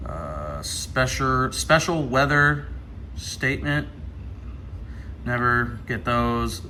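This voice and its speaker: A man's voice: mid-range voice, expressive, very slow, bright, forceful tone, rough recording. An American man giving casual hands-on unboxing commentary, thinking aloud as he mutters through menu items. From Ohio, United States. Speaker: radio hobbyist presenting an unboxing. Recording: clear background noise.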